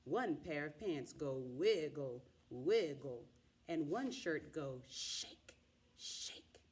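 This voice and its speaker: A middle-aged woman reading a children's picture book aloud in an expressive, dramatic way, drawing out the sound words. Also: low-pitched, very slow. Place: small indoor room with wall cards and pillows.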